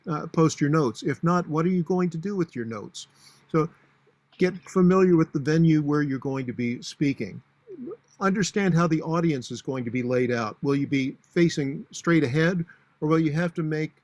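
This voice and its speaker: A booming-voiced man in his sixties gives speaking tips in an instructional talk. His voice sits a little high for a man. The sound is close and dry, and he delivers matter-of-fact advice with plenty of uhs. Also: no echo. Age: 60s. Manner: practical, matter-of-fact advice with frequent fillers. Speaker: public speaking coach.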